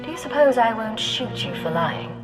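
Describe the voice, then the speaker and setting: An actress playing a tough, suspicious former secret agent, cool and direct, in a science-fiction video game. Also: female voice, a little high, big pitch swings, moderate pace, music in the background. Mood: confrontational. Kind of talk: scripted video game acting.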